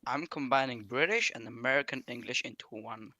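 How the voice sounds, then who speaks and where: A man's voice: mid-range voice, fairly quick, a crowd in the background. A man from Slovakia chatting on a gaming stream, prodding and challenging his friends in banter. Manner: challenging, prodding others to do accents.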